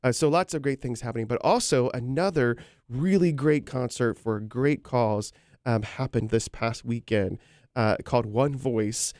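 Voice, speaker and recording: Hoarse man co-hosting a chat. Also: medium pitch, moderate pace, a little mellow, dry recording, studio quality. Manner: chatty, jokey, frequent fillers. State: hoarse from a cold. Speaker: co-host. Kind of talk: casual chat.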